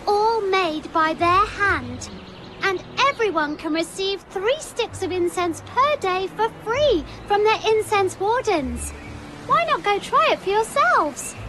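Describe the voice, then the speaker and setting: Perky little game guide girl, extremely high swooping voice, bubbly salesmanship, music loud behind. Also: full character voice. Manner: bubbly, exclamatory, playful. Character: perky small high-pitched female guide and stall merchant. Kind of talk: video game character acting.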